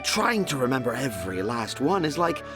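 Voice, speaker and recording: An actor playing a theatrical, self-important children's author in a video game, speaking in flowery, poetic phrases. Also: male voice, mid-range voice, big pitch swings, moderate pace, natural voice. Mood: pleased, grand. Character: flamboyant, dreamy, self-important male children's storyteller and father. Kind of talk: video game character acting. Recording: music behind the voice.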